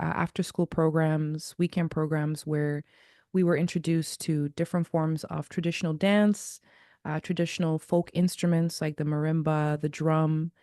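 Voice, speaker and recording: Low-voiced woman, dry close sound. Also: fairly even intonation, moderate pace, a little mellow, smooth, no echo. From Zimbabwe. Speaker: guest jazz vocalist. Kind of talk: casual interview.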